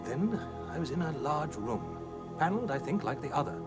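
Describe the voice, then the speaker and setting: A male narrator plays a genteel husband from a ghost story, troubled and earnest. His speech is precise and discursive, with a highish, very varied pitch. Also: big pitch swings, moderate pace, over loud music. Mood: anxious, indignant. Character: troubled genteel English husband recounting nightmares.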